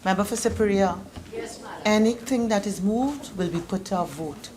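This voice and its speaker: A woman's voice: medium pitch, moderate pace, crowd noise. A woman presiding over parliament in a firm, formal, procedural way. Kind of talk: formal chairing of debate.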